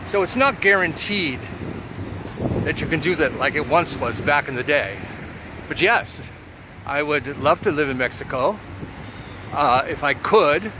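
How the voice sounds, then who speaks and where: A man in his seventies talking about his life in a relaxed, reflective monologue, with many pauses. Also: a little high, noisy background. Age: 70s. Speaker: vlogger. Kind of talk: casual personal monologue.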